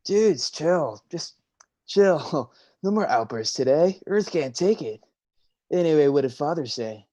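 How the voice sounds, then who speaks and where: Sea god as teasing surfer bro male actor: relaxed, mellow, slangy stage delivery. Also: fairly high pitch, measured pace. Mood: laid-back. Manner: slangy, drawling surfer talk. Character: laid-back surfer-dude sea god, Poseidon, young and teasing. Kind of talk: live staged play reading.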